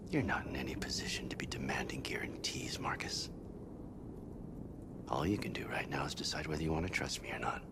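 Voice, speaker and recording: Gravelly, very deep-voiced menacing agent. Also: male voice, whispered. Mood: cold, threatening. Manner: measured, coldly persuasive. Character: hard, menacing male government agent negotiating surrender. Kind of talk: cinematic video game acting.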